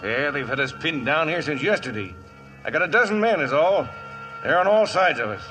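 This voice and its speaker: An actor playing a gruff, plain-spoken veteran cavalry sergeant in an old radio western, clipped and forceful. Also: male voice, fairly high pitch, animated, brisk, soft background music. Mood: grim, businesslike.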